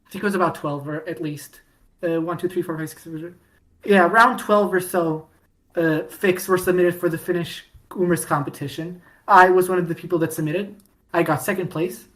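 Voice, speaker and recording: A woman's voice: lowish voice, steady tone, fairly quick, fairly bright tone, rough recording. Woman podcast co-host, chatty fan talk, loose and rambling, frequent false starts. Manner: rambling, many restarts and fillers, laughs often. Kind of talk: casual fandom chat.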